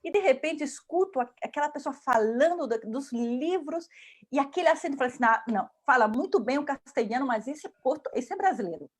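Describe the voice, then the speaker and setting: A woman's voice: sits high, lively intonation, fairly quick. Effusive Brazilian woman interviewer. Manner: enthusiastic, effusive praise. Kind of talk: casual interview. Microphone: video call.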